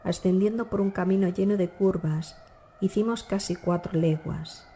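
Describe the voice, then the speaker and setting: A woman narrating a dark classic novel as an audiobook, in a measured, formal storytelling manner. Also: mid-range voice, a little hiss or hum.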